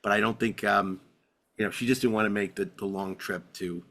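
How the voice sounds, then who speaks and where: A man's voice: lowish voice, fairly even intonation, a little fast, fairly bright tone. Chatty male podcast host. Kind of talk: casual chat.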